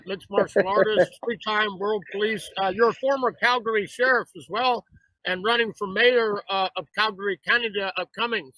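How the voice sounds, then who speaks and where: A man's voice: sits high, pushed and bright, dry recording, low-quality recording. A man hosting a talk show, introducing his guest with effusive praise and frequent fillers. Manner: effusive, repeats "absolutely fabulous".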